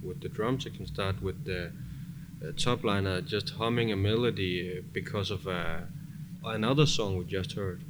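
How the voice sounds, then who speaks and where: This is a man with a lowish voice and a Danish accent. He talks casually, as a producer being interviewed. Ambient noise is clearly audible behind him. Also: fairly even intonation, normal speed. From Denmark. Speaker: music producer, interview guest.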